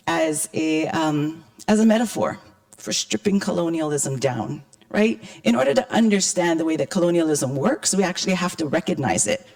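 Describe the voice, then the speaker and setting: A woman from Hawaii speaking as an invited panelist to an audience, with a croaky, hoarse voice and a conversational, wry manner. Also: lowish voice, a little mellow. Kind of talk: public talk to an audience.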